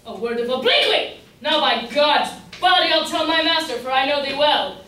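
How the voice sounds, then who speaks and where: A woman playing a hapless, pompous gentleman suitor in an amateur staged reading of an old verse comedy, in a florid, declamatory way. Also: high voice, animated, moderate pace. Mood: indignant, wailing. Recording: echoey room from far off, faint noise.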